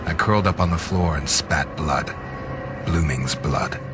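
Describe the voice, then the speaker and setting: A man playing a brooding vampire who narrates a noir video game in a terse, grim, deadpan way. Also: very deep voice, a little slow, music in the background. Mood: bleak. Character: brooding gravel-deep male vampire, hardboiled noir narrator. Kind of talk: scripted first-person noir game narration.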